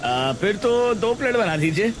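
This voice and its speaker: Eager high-voiced hungry customer done by a man. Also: big pitch swings, unhurried, slight background noise. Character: eager hungry male passing customers at a food stall. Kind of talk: scripted cartoon dialogue.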